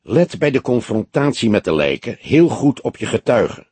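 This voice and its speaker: A man voicing a shrewd, teasing old police detective in an audiobook, with a probing, deliberate way of talking. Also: mid-range voice, very animated, a little mellow, breathy edge, dry recording. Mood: shrewd, measured.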